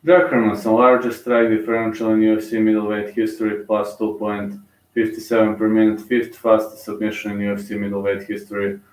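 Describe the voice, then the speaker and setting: A man's voice: lowish voice, level tone, a little fast, darkish tone, far from the mic. Bulgarian man, casual fight commentary, loose and rambling, roomy echo. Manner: rambling, thinks aloud with many fillers and restarts.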